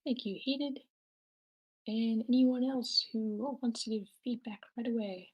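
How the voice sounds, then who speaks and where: Woman host, slightly high voice, informal and playful, moderating an online meeting. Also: a little slow, lo-fi sound. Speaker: moderator of table read feedback session. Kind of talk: casual group chat. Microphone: online meeting mic.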